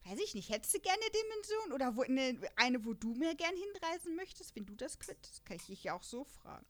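A woman's voice: high-pitched, big pitch swings, fairly quick. A chatty, enthusiastic woman co-hosting a podcast, talking casually about an audio drama and often breaking into laughter. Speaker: podcast co-host.

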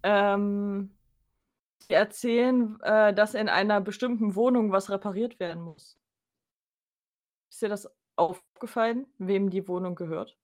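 A woman's voice: medium pitch, unhurried. A woman with a German accent co-hosting a podcast, talking in an informal, conversational way. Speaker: podcast co-host. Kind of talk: casual podcast chat.

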